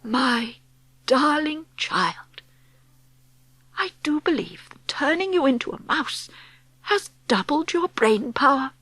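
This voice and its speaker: A determined old grandmother from a storybook, put on by a woman. She is fond and unflappable, with measured, breathy emphasis and expressive swoops. The recording is close and dry. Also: fairly high pitch, no room sound, exaggerated voice.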